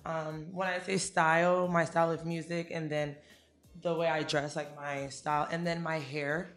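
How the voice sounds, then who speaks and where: A woman's voice: sits low, flat delivery, moderate pace, soft background music. A young woman singer from Ghana, raised in America, casually answering interview questions in a studio with a handheld mic. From Ghana, raised in the United States. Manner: easygoing, many fillers like "you know" and "um". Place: studio with a teal backdrop. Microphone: handheld mic close to the mouth.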